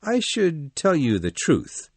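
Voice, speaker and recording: A man voicing a brooding, quietly bitter adult man in storybook dialogue, measured and commanding. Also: fairly high pitch, wildly swooping pitch, husky, no room sound, pitched above the performer's own voice, exaggerated voice. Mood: calm.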